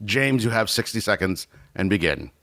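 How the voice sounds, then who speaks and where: Animated man hosting a comedic debate. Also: fairly low pitch, normal speed. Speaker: host and moderator of a comedic debate show. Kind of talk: playful game-show style hosting.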